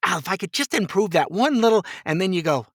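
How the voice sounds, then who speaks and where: A middle-aged or older man telling stories casually as a podcast guest, chatty and quick to chuckle, acting out bits of dialogue. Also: high-pitched, lively intonation, fairly bright tone, no echo, studio quality. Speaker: podcast guest, voice actor and director. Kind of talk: casual conversational storytelling.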